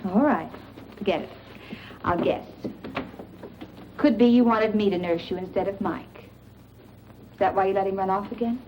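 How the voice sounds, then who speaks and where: A woman's voice: medium pitch, moderate pace, long pauses, close to an everyday voice. An actress playing a shrewd, possessive small-town woman with a knowing, insinuating delivery in a 1940s radio thriller. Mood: knowing, needling. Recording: noisy, muffled 1940s radio recording.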